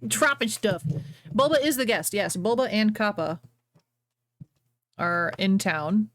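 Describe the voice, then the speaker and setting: A woman's voice: mid-range voice, lively intonation, slow pace, no echo. A woman hosting a casual livestream, talking in a playful, teasing way. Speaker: livestream host.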